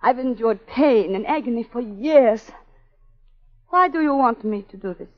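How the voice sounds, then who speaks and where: A woman's voice: on the high side, lively intonation, unhurried. Casting: hard-bitten, scarred young woman, clipped and theatrical, vintage radio melodrama, dull lo-fi sound. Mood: bitter, impassioned. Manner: clipped, defiant, theatrical delivery. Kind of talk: 1940s radio melodrama acting. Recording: muffled old 1940s radio recording.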